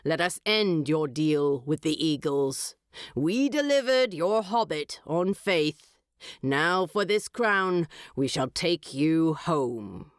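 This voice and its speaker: A woman's voice: low-pitched, animated, slow pace, no echo, studio quality. A woman voicing a vain, haughty male king of giant eagles in a storybook reading, regal, pompous and wry. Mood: pompous, approving. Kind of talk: audiobook storytelling.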